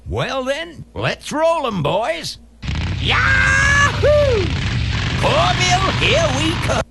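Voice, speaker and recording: An actor playing a feisty, gruff old motorcycle tycoon in a video game, gravelly and blustery. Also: male voice, extremely high pitch, extremely slow, very noisy, heavily acted. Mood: jubilant. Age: older. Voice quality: gravelly, creaky. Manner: blustery, excitable. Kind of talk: scripted video game voice acting. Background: music.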